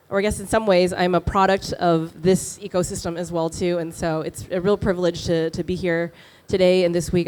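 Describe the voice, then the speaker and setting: Woman from Taiwan, fund partner, low-ish voice, fluent stage pitch with fillers. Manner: warm, fluent, frequent "um" fillers. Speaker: venture capital partner. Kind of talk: conference pitch presentation. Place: conference stage.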